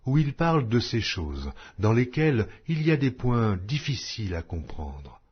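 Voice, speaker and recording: A man reading scripture aloud in a solemn, measured way. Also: medium pitch, very animated, breathy edge. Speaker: Bible reader. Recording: dull lo-fi audio.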